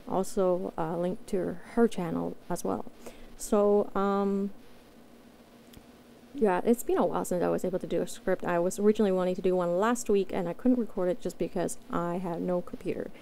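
Girl doing a casual outro for her channel, soft mellow voice, kinda hesitant and rambly. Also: mid-range voice, a little hiss or hum, poor audio. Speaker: amateur voice actor and channel creator. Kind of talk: casual unscripted outro chat.

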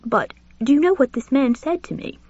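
A fussy, courtly older gentleman scholar acted by a woman, speaking in a high, lilting, questioning audiobook voice. His genteel phrases swoop upward, on a dry, slightly dull recording. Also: animated, normal speed, no room sound. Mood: fussy, incredulous. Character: courtly, fussy older male classics tutor, airily puzzled. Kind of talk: audiobook character voice.

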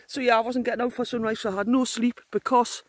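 Gruff-voiced woman outdoors. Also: a little high. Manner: chatty, teasing, laughs often. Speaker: outdoor vlogger. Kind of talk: casual handheld camping vlog. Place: open moorland outdoors. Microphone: phone camera held by the speaker.

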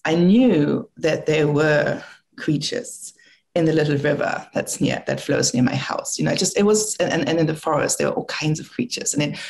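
German-accented older woman with gravelly voice. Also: sits low, a little mellow. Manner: reflective, thinking aloud with frequent restarts and fillers. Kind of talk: conversational talk. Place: home room, video call. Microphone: video call.

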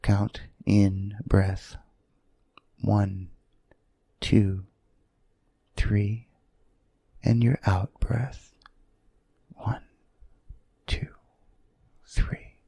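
A man leads a sleep meditation in a gravelly, soothing voice. He speaks very slowly, in short, gentle phrases separated by long pauses. The sound is close and dry. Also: lowish voice, level tone, no room sound. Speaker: guided meditation leader.

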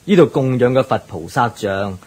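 A man playing a friendly, knowledgeable local guide in a radio drama, expressive and explanatory. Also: mid-range voice, normal speed, husky. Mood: informative.

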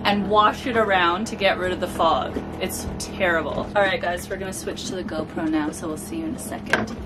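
A woman's voice: fairly low pitch, fairly even intonation, a little fast, over loud music, rough recording. A young woman chatting casually as a sailing vlogger, filming herself with a handheld camera on a boat. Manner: chatty, laughing, teasing. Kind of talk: casual vlog chat. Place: on a sailboat at anchor, outdoors and in the cabin. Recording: wind and boat noise.

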